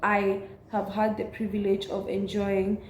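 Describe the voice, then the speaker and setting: A woman in her twenties, raspy from a cold, chatting earnestly to camera in a vlog. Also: mid-range voice, monotone, roomy sound, a little hiss or hum. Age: 20s. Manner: earnest, affirming, unhurried. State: recovering from a cold. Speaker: vlogger. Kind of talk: casual chat to camera.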